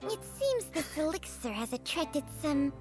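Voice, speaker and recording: A woman's voice: high-pitched, very animated, measured pace. A woman voicing a haughty, dry-witted snake companion with formal, sarcastic speech in a game trailer. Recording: clear music behind.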